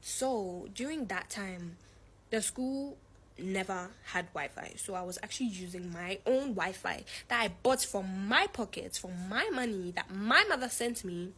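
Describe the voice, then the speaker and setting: A woman's voice: mid-range voice, lively intonation, measured pace, pushed and bright, breathy edge. A teenage young woman telling a personal story in a candid, blunt, emphatic way, repeating phrases and using slang. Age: teens, 17. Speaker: student telling own story.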